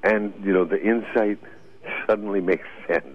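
A man's voice: on the low side, steady tone, normal speed. An older man, a radio guest telling rambling stories with frequent laughs, heard over a phone line. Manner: rambling, anecdotal, laughs often. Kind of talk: radio interview storytelling.

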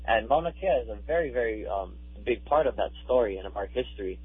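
Activist guest, man from Hawaii, thirties, husky voice, heard over a narrow phone-like line. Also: medium pitch, animated, quick pace, dry recording. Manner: earnest, emphatic, repeats words while building points. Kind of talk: radio interview.

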